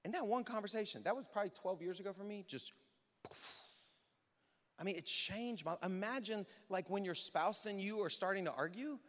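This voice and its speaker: Male pastor, high voice, informal but emphatic sermon delivery, rhetorical questions, long hall reverb. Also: moderate pace, a bit bright. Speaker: pastor preaching to a congregation. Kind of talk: contemporary conversational sermon. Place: large church hall, speaking to an audience.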